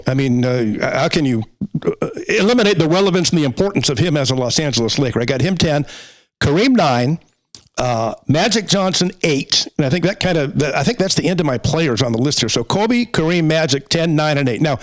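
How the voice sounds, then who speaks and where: A man hosting a podcast, talking casually about sports in a conversational, halting way as he works through a list. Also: a little high, rough recording. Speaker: podcast host.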